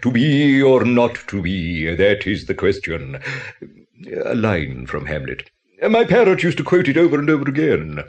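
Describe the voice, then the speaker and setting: A theatrical older actor, swooping delivery. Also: male voice, lowish voice, very animated, normal speed, highs cut off. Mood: proud, nostalgic. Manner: theatrical, courteous, expansive. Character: theatrical older male actor who has lost his parrot. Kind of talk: 1980s audio play acting.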